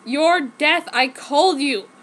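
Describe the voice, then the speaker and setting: A woman's voice: sits high, slow, a bit bright. A woman voicing an earnest, defensive young male vampire brother with solemn melodrama. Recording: noticeable background noise.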